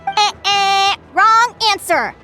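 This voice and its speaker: Casting: young game-world field guide, very high animated voice, perky teacher energy, faint music behind. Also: female voice, takes it slowly, full character voice. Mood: cheery, instructive. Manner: perky, playful, instructive. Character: young female adventure advisor, cheery, encouraging teacher. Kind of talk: anime-style video game voice acting.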